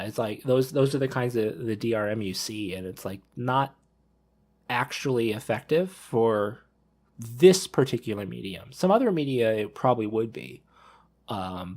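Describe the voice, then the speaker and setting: A man's voice: medium pitch, lively intonation, unhurried, a little mellow. Rambling thirty-year-old man, casual podcast talk. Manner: rambling, repeats and rephrases himself. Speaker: podcast panelist. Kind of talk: casual panel discussion.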